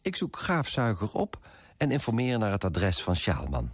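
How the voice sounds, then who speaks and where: Pompous husky coffee broker. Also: male voice, lowish voice, wildly swooping pitch, moderate pace, full character voice. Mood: curt, disapproving. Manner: pompous, self-important. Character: pompous, fussy male coffee broker, self-righteous and judgemental. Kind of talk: first-person radio drama narration and dialogue.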